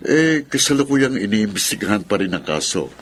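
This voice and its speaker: A man's voice: fairly low pitch, sing-song, normal speed, a little hiss or hum. A man playing a polite, earnest family friend in radio drama dialogue.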